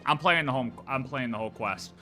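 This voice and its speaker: Bright-voiced young man streaming. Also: medium pitch, brisk, soft background music. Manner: rambling, speculative, casual. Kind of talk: live game reaction stream.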